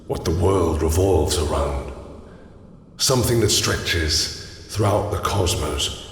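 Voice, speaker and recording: A man playing an immortal god of death in a fantasy audio roleplay, brooding, imperious and yearning, speaking in a solemn, grandiose way. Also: low voice. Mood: grandiose, ominous. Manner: grandiose, solemn, slow deliberate speech. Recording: cavernous echo, long reverb, faint noise.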